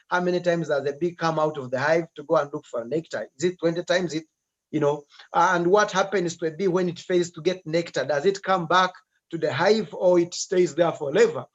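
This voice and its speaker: High-voiced animated man on lo-fi audio. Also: fairly quick. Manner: animated, urging viewers to comment. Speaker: host. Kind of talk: live-stream talk show hosting. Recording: dull lo-fi sound.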